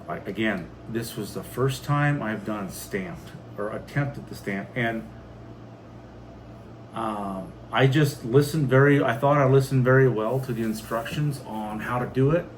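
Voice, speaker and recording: A man's voice: mid-range voice, measured pace, rough recording. Casual American man chatting over background noise. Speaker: homestead vlog host. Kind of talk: casual chat reading viewer comments.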